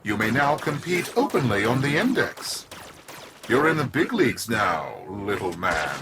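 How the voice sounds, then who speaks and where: An actor playing a smug corporate tycoon villain in a game, grandiose and condescending like a theatrical preacher. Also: male voice, mid-range voice, very animated, unhurried, noisy background. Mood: smug, mocking. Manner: grandiose, condescending, swooping drawl. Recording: music behind the voice.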